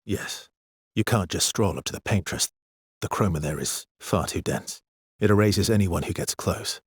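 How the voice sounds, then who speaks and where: An actor playing a weary, gentle, guilt-ridden expedition guide in a dramatic video game, with a husky, breathy, hushed and halting delivery. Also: male voice, deep voice, dry recording, clean studio sound, full character voice. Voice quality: husky, breathy, often near a whisper.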